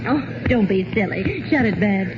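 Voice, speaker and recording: An actress playing a sensible, fretting housewife in a 1940s radio comedy. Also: female voice, medium pitch, expressive, moderate pace, over music, natural voice. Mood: exasperated. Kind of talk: 1940s radio sitcom acting. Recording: muffled old radio, loud noise and audience.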